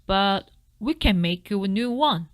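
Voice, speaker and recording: A woman's voice: mid-range voice, lively intonation, measured pace, no room sound, studio quality, natural voice. A woman voicing a small male mouse dentist in a storybook, polite, firm and emphatic. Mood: brisk, professional. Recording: background music behind the voice.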